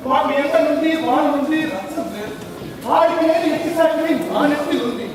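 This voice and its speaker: A man's voice: extremely high pitch, fairly quick, crowd noise. A man acting a poor, downtrodden, aggrieved village man in a stage play, declaiming in rhetorical questions. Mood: bitter, aggrieved. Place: stage in a large hall. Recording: echoey hall from far off, music behind.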